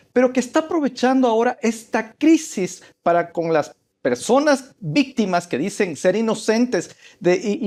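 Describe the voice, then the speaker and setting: A man giving emphatic, measured political commentary as a panelist on a talk show. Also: high-pitched, darkish tone.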